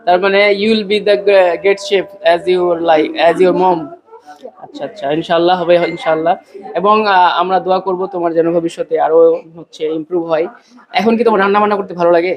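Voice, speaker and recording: A man's voice: sits high, fairly even intonation, a little fast. A man with a Bangladeshi accent interviewing casually as a podcast host, repeating answers back and dropping in English phrases. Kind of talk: casual interview, questioning a child.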